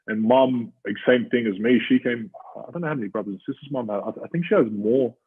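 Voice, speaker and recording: A thirty-year-old Australian man, a rugby player, talking as an interview guest in a halting way with plenty of fillers. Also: medium pitch, husky. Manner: halting, filler-heavy storytelling. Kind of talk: casual interview chat. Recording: tinny phone-like line.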